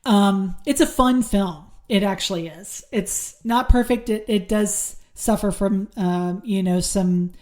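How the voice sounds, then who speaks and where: A woman's voice: medium pitch, darkish tone. A woman hosting a horror movie podcast, chatting casually with many ums, her voice a little worn. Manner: chatty, lots of ums and restarts. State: losing her voice. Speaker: podcast host. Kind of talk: casual solo review and news.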